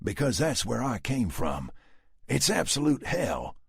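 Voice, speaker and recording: A man voicing a gruff, sardonic southern man who speaks in a slow, sneering Southern US drawl. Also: medium pitch, huge pitch swings, no echo, clean studio sound, voice pushed up, exaggerated voice.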